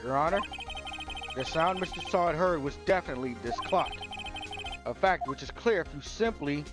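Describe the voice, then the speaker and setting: An earnest young defense lawyer in a courtroom game, acted by a man. He speaks in declarations, determined yet unsure of himself. Clear music runs underneath. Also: a little high, lively intonation, normal speed, natural voice.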